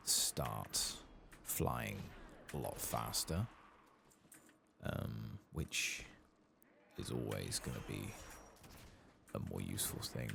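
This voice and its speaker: Very deep, gravelly soft-spoken British man. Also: background noise. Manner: low-key, rambling, musing to himself. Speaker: gaming streamer, ASMR host. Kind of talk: soft-spoken ASMR game commentary, partly whispered.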